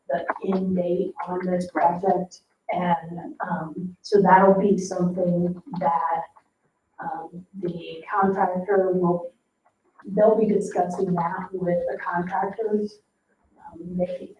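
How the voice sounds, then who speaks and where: A woman staff member explaining details at a public meeting, with a measured, halting delivery. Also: lowish voice. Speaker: staff member briefing a board. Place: indoor town board meeting room. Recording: roomy, distant, muffled meeting-room microphone with noise.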